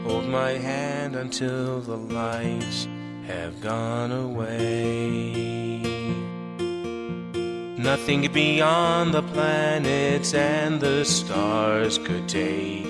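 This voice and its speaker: Woman acting as devoted female colonel, low slow pleading voice, audio drama musical with guitar behind. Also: exaggerated voice. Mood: pleading, grieving. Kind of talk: musical fan audio drama, sung and spoken. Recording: loud guitar music under the voice.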